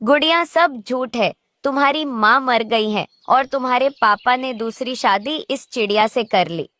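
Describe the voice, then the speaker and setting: A brash female crow from a children's cartoon, played by a woman, pitched on the high side. She is spiteful and demanding, whining and sneering as she pushes, in a very dry recording. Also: unhurried, sharp tone, no room sound. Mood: blunt, spiteful. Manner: whining, scornful, pushy. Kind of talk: Hindi children's cartoon voice acting.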